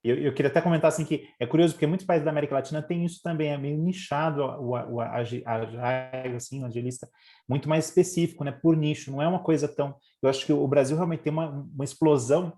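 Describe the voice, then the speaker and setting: Quick, mellow-voiced man, slightly high, hosting a casual call; restarts often. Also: fairly even intonation. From Brazil. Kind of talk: casual video call chat. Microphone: video call.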